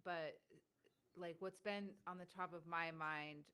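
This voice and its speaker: A woman's voice: on the low side, steady tone, slow pace, smooth. A young woman hosting a casual interview podcast. She is chatty and stutters now and then. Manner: warm, chatty, stutters at times, many "like" fillers. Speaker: podcast host.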